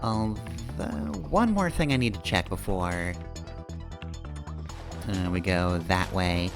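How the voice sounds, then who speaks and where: A man's voice: deep voice, slow, creaky. A man giving casual, rambling live commentary while he plays a video game, thinking aloud as he goes. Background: video game music.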